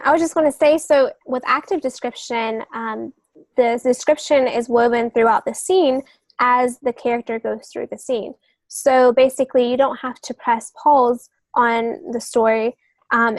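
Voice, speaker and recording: A woman's voice: on the high side, no room sound. A woman co-hosting a podcast, explaining things in a casual, conversational style. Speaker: podcast co-host, young adult author.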